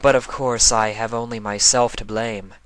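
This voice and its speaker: A man's voice: mid-range voice, fairly even intonation, normal speed, clear, resonant tone, no echo, voice pushed up, lightly acted. A man voicing a weary, brooding pony princess who reflects drearily and formally on her failures in a fan fiction reading. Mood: wistful, self-reproaching. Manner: drearily introspective, formal diction.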